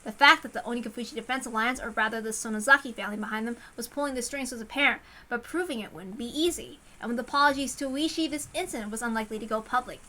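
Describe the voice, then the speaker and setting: A woman's voice: a little high, fast talker, few pauses, pushed and bright. A woman reading a first-person story aloud as a dramatic narration. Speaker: visual novel reader.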